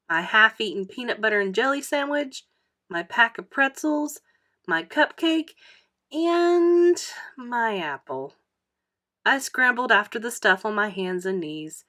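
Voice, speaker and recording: A woman storyteller narrating a children's book aloud in an expressive style. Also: mid-range voice, unhurried. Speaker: read-aloud storyteller, teacher.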